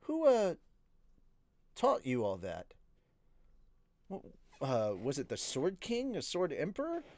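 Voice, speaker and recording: A man's voice: on the high side, huge pitch swings, takes it slowly, long pauses, darkish tone, dry recording, voice pushed up, full character voice. A man reading a novel, voicing an anxious, self-doubting young male mage in a hesitant, dramatic way. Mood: hesitant questioning. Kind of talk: first-person novel reading.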